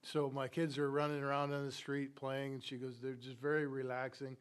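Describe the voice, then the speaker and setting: A man's voice: medium pitch, monotone, moderate pace, clean tone. Man chairing a village council meeting. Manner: enthusiastic, repeats words. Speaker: council president.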